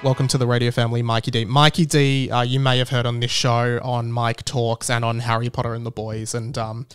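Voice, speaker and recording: A man's voice: mid-range voice, flat delivery, fairly quick, clean tone, soft background music. A man hosting a podcast solo in a casual, chatty style, rambling and often interrupting his own sentences. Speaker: podcast host.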